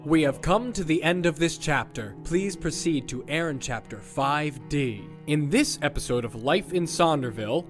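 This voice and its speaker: A man's voice: on the high side, lively intonation, normal speed. A man reading scripted end credits as the announcer of an audio drama. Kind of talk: scripted narration. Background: music.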